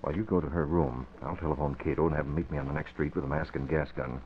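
A man playing a decisive newspaperman and secret crime fighter in an old-time radio drama, firm and deliberate. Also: extremely low pitch, steady tone. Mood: firm, determined. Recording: dull vintage radio recording.

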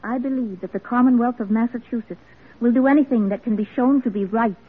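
Earnest, high-voiced crusading woman. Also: a little fast, slightly rough. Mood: earnest pleading. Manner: formal, impassioned, blunt. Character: earnest, unyielding female crusader for the mentally ill. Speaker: radio actress. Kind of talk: classic American radio drama acting. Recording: muffled old radio drama recording.

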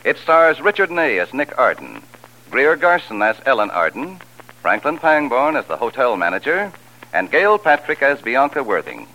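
A man's voice: medium pitch, very animated, moderate pace, pushed and bright, rough recording. A man announcing a 1940s radio play in a scripted, presentational style. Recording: vintage radio broadcast recording.

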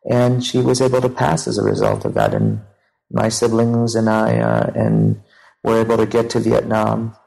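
A low-pitched man in his thirties, heavy with vocal fry. He speaks as an interview guest from Cambodia, telling a personal account in a halting way with frequent pauses. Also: big pitch swings, warm tone, rough recording. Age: 30s. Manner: halting, pausing often mid-sentence. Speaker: interview guest, professor and author. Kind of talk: recounting personal family history in an interview.

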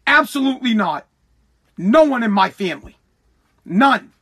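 A man's voice: very high-pitched, sing-song, normal speed, a bit bright, husky. An American man hosting a podcast, delivering an opinionated solo rant in a combative, emphatic, repetitive and profane manner. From United States. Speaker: podcast host.

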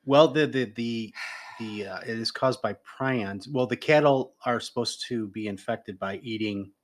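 A man's voice: medium pitch, measured pace. Hesitant man explaining with many ums. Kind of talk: casual chat.

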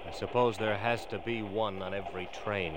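An actor playing a curt, polite gentleman passenger in a 1940s radio drama, formal and mildly disdainful. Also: lowish voice, fairly even intonation, normal speed, background noise, lightly acted. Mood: cool, dismissive.

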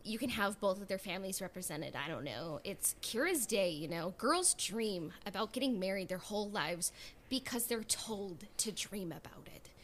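A woman's voice: medium pitch, expressive, moderate pace, fairly bright tone, a little hiss or hum. A woman co-hosting a podcast, chatting casually in a playful, opinionated way. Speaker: podcast co-host. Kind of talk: casual chat.

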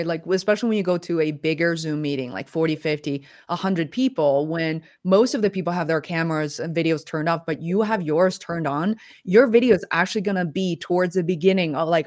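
A woman's voice: lowish voice, darkish tone, no room sound, clean studio sound. A woman hosting a livestream interview over a video call, chatty and enthusiastic, with many fillers. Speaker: livestream host. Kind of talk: casual interview.

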